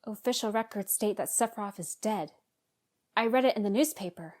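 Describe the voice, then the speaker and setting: A woman's voice: mid-range voice, fairly quick, a little mellow, natural voice. A woman playing an earnest, concerned young comrade in a fan dub. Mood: serious. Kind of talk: dramatic fan dub acting.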